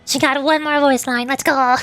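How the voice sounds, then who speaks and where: A woman's voice: high-pitched, steady tone, moderate pace, a bit bright, faint music behind. A woman game streamer chatting casually and explaining things in a rambling way. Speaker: streamer, virtual YouTuber. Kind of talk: casual game livestream chat.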